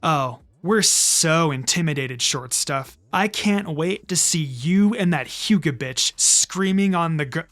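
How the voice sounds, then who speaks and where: A man's voice: on the high side, lively intonation, takes it slowly, hardly any pauses, a bit bright. A teenage ninja villain girl acted out by a male reader talks with open mockery. She is sneering, abrasive and crude, in the manner of an adventure tale. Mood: mocking. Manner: mocking, abrasive.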